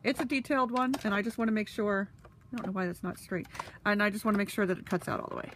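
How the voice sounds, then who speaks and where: A woman's voice: medium pitch, steady tone, fast, background noise. A woman with a stuffy, sniffly nose, chatting casually with lots of fillers while she demonstrates a craft tool. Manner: talks while handling tools. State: congested, sniffly.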